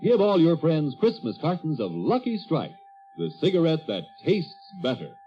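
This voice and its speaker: A man reading a 1940s radio advertisement as an announcer, with an emphatic, deliberate, husky sales delivery. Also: fairly high pitch, huge pitch swings. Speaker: commercial announcer. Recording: dull old 1940s radio recording.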